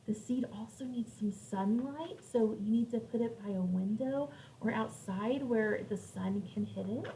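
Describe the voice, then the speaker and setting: A woman's voice: a little high, measured pace, warm tone. A woman teacher reading aloud to young children, explaining things in simple words and putting questions to her listeners. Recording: distant microphone with faint background noise.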